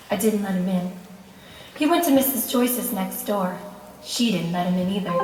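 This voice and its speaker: Anxious housewife diarist played by a woman, simple confiding monologue for radio drama, medium pitch, long hall reverb. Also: lightly acted. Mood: wary. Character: nervous, frightened wife confiding in her notebook. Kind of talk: amateur radio play diary monologue. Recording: echoey hall, distant, faint hiss.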